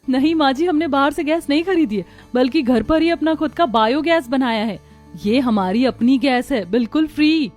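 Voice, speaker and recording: A woman voicing earnest, enterprising village women in scripted cartoon dialogue, with an expressive, homely manner. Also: sits high, moderate pace, soft background music, natural voice. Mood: excited, proud.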